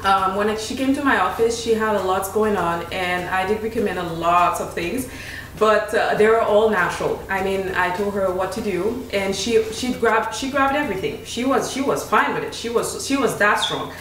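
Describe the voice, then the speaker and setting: Haitian-accented woman nutritionist, animated casual talker, a bit off-mic in a roomy kitchen. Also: mid-range voice, moderate pace, a little hiss or hum. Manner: animated, laughs often, restarts sentences. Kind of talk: casual chat. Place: home kitchen, indoors. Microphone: desk mic on tripod, camera at a distance. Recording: echoey room, voice from a distance.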